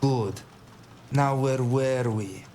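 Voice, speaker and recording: A man playing a desperate, threatening gunman in an audio drama, speaking deliberately like someone with nothing left to lose. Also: medium pitch, dark tone, slight background noise. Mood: cold, suspicious.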